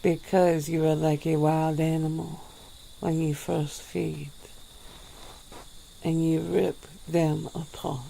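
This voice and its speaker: A woman voicing a centuries-old female vampire, calm and regretful, speaking slowly and formally in an ASMR roleplay. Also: low voice, vocal fry, a little hiss or hum, full character voice, rough recording. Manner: slow, measured, formal speech.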